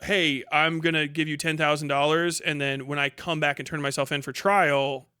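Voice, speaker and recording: A man's voice: a little high, little pitch movement, normal speed, pushed and bright, clean tone, dry recording, studio quality. A man giving casual, rambling explanatory commentary as a streamer, informal and swearing freely. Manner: informal, heavily profane.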